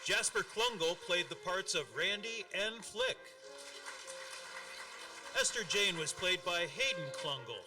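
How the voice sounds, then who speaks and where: A man's voice: high voice, lively intonation, measured pace. A man reading a scripted credits announcement as the announcer of a radio production. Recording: slightly distant voice under music. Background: music.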